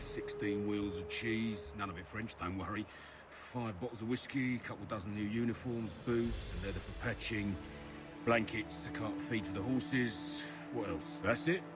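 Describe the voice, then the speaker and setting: Low-voiced army supply man, acted by a man. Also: close to an everyday voice. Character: obliging army supply carter reciting stock. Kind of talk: video game character acting. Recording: loud music over voice.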